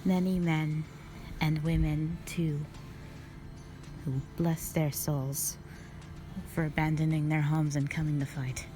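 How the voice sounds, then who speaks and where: A woman playing a calm, stern, ancient female dragon guardian in a roleplay audio drama, speaking in a formal, measured, proud way. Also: sits low, faint music behind, rough recording. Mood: solemn, reflective.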